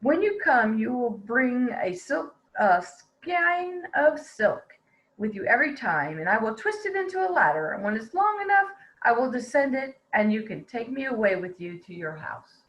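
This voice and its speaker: A woman's voice: fairly high pitch, big pitch swings, moderate pace, fairly bright tone. A woman voicing a sweet, naive young girl, earnest and guileless, in a fairy tale reading. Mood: earnest planning.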